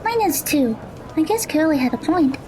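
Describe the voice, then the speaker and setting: A woman playing a cheerful, easygoing and curious Japanese high school girl in an audio drama. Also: very animated, moderate pace, mellow, low-quality recording. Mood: friendly, curious.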